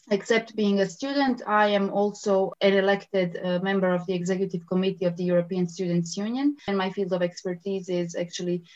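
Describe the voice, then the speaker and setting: Forthright woman, lo-fi. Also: fairly low pitch. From Croatia. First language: Croatian. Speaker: PhD student and student union official. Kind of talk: interview answers.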